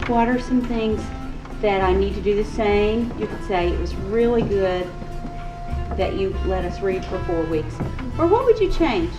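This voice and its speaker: A woman teacher leading a classroom discussion, asking questions and rephrasing the answers she gets. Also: mid-range voice. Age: adult. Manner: prompting, rephrasing answers back. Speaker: elementary school teacher leading a feedback discussion. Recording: distant microphone with loud music over it. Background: loud music.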